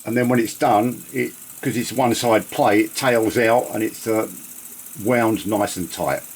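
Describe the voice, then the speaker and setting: A man talks through a casual gear demo in an unscripted, rambling way. His delivery is chatty and halting. There is faint noise and some clicking in the home recording. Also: fairly low pitch, rough recording. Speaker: presenter demonstrating vintage audio gear. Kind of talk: casual unscripted product demonstration.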